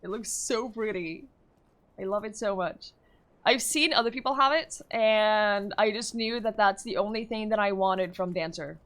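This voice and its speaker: A woman's voice: mid-range voice, normal speed, fairly bright tone. A young woman from Norway chatting casually with her chat while streaming a game, answering questions with frequent fillers and small laughs.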